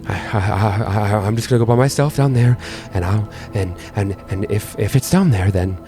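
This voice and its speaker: A man's voice: on the low side, dark tone, faint music behind, natural voice. A man voicing a mousy, nervous, forgetful fellow who stammers, hums to himself and repeats his phrases in a tabletop game. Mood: hesitant, flustered. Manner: halting, stammering, repetitive, humming to himself.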